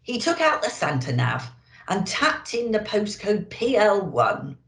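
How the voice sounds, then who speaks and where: A woman's voice: mid-range voice, huge pitch swings, unhurried, fairly bright tone. A woman reading a children's story expressively, as if talking to young listeners. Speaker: storyteller in character as an elf. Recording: roomy echo.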